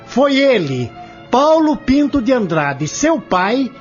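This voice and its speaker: A man's voice: very high voice, sing-song, slow, exaggerated voice. A man playing a formal, confident, accusing prosecutor in an audio drama, speaking emphatically. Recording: muffled lo-fi audio with music.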